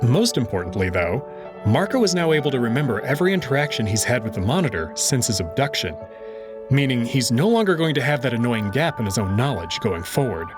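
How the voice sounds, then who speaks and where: Guy reading and talking through a visual novel, really lively pitch, music going behind him. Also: brisk. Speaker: narrator and commentator. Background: music under the voice.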